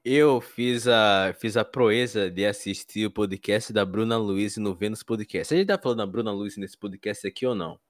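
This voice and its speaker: A man's voice: on the low side, steady tone, normal speed, few pauses. A man hosting a casual podcast chat, laid-back and swearing as he jokes with his co-hosts. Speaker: podcast host. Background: background music.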